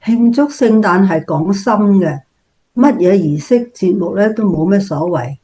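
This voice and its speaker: A woman with a Cantonese accent playing a gentle, devout older family member, speaking earnestly and with measure in a scripted radio drama. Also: mid-range voice, lively intonation, measured pace, close to an everyday voice.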